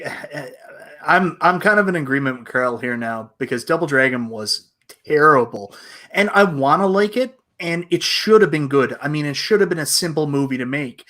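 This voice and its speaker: Higher-pitched man, blunt casual chat. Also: bright, forceful tone. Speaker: panelist on an online video game show. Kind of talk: casual opinionated panel chat.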